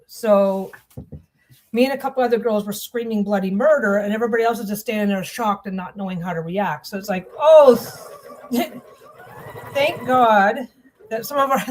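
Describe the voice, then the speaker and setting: A woman in middle age chats on as she works at her sewing. Her voice sits a little high, and her telling wanders, full of restarts and little asides about the piece in her hands. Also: rough recording. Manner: chatty, meandering storytelling with frequent restarts and self-interruptions. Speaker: vlogger sewing on camera. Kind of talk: rambling casual chat while sewing.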